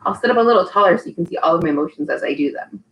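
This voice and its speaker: A woman's voice: fairly low pitch, animated, racing, poor audio. A woman hosting a live storytime for young children, speaking in an encouraging, child-directed way that turns sing-song when she leads activities. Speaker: early childhood storytime host and teacher.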